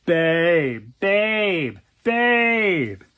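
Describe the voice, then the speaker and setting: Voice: medium pitch, lively intonation, extremely slow. A storyteller voicing a sheepish, evasive boyfriend who is hesitant, defensive and placating. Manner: hesitant, stammering, repeats himself.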